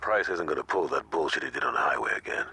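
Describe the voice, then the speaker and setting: A tough, streetwise gang lieutenant in a video game. He speaks low and fast, blunt and commanding, with lively inflection. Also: vocal fry, background noise, heavily acted. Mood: brisk, commanding. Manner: brisk, slangy, blunt. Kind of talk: video game cutscene acting.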